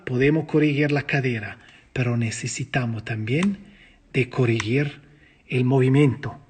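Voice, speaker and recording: Lecturer, male, non-native Spanish speaker, husky and a bit high, lively self-questioning delivery. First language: not Spanish. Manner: lively, asks and answers his own questions. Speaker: physiotherapy lecturer. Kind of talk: teaching a course, explaining slides.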